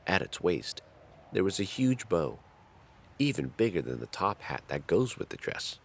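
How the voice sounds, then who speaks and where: Male storybook narrator: deep voice, dramatic and highly expressive intonation, scripted fairy tale delivery. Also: normal speed, gravelly.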